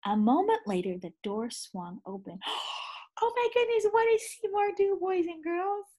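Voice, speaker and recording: A woman teacher reading a picture book aloud to young children, pausing to ask them questions, with gasps and sighs. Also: extremely high pitch, big pitch swings, dark tone. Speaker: second grade teacher.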